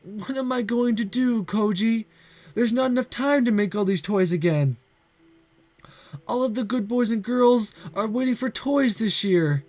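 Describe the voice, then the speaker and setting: A man voicing a sweet, clumsy young female Santa who sobs over her broken toys in a high, breaking falsetto. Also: very animated, moderate pace. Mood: tearful. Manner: high breaking falsetto, sobbing and self-pitying. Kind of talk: amateur voiced story reading.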